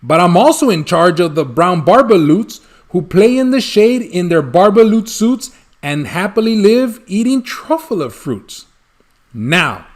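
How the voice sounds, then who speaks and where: A man's voice: sits high, pitched above the performer's own voice. A man voicing an indignant, bossy old forest creature from a storybook, scolding in emphatic rhyme. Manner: snappish, emphatic rhyming speech.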